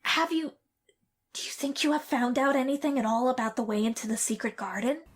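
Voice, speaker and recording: A woman's voice: high-pitched, a little fast, slightly rough. A woman playing a spoiled, sickly young boy in an audio drama, with a plaintive, childlike manner. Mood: subdued.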